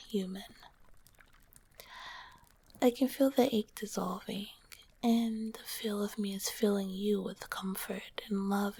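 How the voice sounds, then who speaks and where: A woman voicing a gentle, shy female slime monster girl in an ASMR roleplay, whispering softly and soothingly close to the microphone. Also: mid-range voice, creaky, slightly rough, exaggerated voice. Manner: soft whispering, soothing and hesitant.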